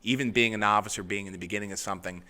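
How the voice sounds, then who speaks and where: A man's voice: fairly low pitch, steady tone, very fast, pushed and bright. A young man from Long Island, a guest on a casual chat show, laid-back and full of slang. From Long Island, New York. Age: young adult. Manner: laid-back, slangy, says bro and dude. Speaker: guest, realtor.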